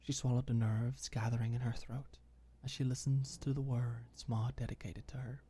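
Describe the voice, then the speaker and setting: A woman reading scripted ASMR audiobook fiction softly and intimately, with deliberate pauses. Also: a bass voice, little pitch movement, slight background noise. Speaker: audiobook narrator.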